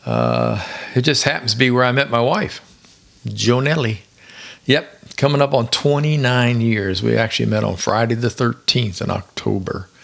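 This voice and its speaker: A retired man in his sixties hosting his own podcast, hoarse from singing, chatting in a rambling, easygoing storyteller manner. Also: mid-range voice, fairly even intonation, normal speed, a bit bright. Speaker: podcast host. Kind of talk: casual solo podcast monologue.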